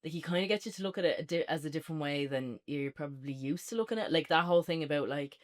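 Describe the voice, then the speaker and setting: A woman's voice: deep voice, quick pace. A woman co-hosting a casual, unscripted review podcast, chatting informally with plenty of "like".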